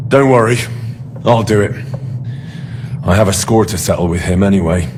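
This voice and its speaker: Actor as stubborn brave young man-at-arms, lowish pitch, self-assured, medieval game drama, clear background noise. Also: moderate pace, close to an everyday voice. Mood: determined. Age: young adult. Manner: plain-spoken, self-assured. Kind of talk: cinematic video game acting.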